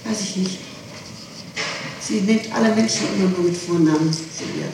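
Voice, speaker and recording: A middle-aged woman being questioned, answering spontaneously in a halting, repetitive, insistent way on an old 1960s interrogation tape. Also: mid-range voice. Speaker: suspect being questioned by police. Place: echoey room, recorded on tape. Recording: noisy, echoey 1960s tape, far from mic.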